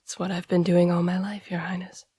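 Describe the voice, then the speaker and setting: A woman playing a masked royal assassin in a fantasy audio roleplay, speaking in a hushed, breathy voice with formal courtesy. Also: on the low side, completely flat delivery, a little fast. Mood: gently protective. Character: masked royal assassin, devoted, soft-spoken guardian from the underworld. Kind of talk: scripted roleplay audio acting.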